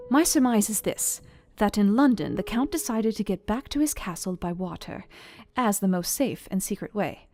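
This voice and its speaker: Actress as clever young Victorian wife narrating a journal: composed, exact, formal, analytical. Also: female voice, medium pitch, close to an everyday voice. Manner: measured, precise, formal and analytical. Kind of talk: dramatized scripted journal narration.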